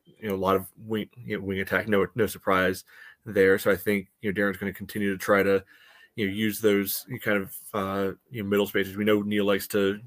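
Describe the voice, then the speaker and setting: A man co-hosting a podcast, giving casual soccer analysis in a halting way padded with filler phrases. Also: on the low side, completely flat delivery. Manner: halting, peppers speech with "you know". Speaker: podcast co-host.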